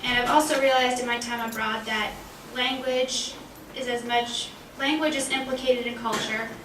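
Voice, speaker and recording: A woman's voice: fairly high pitch, little pitch movement, normal speed. A young woman in her twenties, speaking conversationally as a panelist and sharing personal anecdotes. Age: 20s. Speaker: panelist, language graduate. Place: college panel event room. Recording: roomy, distant mic, faint background noise.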